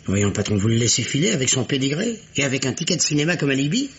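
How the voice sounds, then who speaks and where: An actor playing an insistent, skeptical deputy police detective in a French radio mystery, with an insinuating, mock-polite way of talking. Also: male voice, mid-range voice, sing-song, fast, a bit bright. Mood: protesting. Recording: dull, noisy old radio recording.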